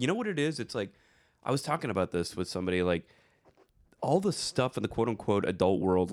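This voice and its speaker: A man's voice: deep voice, no room sound. A man in his forties co-hosting a podcast in casual chat, rambling and swearing freely with frequent restarts. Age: 40s. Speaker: podcast co-host.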